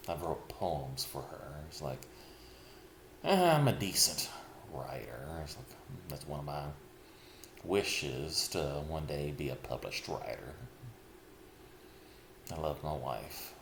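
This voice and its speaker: A middle-aged man speaking a slow, heavy personal confession, pausing often and sighing. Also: a bass voice, very animated, fairly bright tone. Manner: slow, heavy, halting with sighs. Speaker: vlogger. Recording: echoey room with clear background noise.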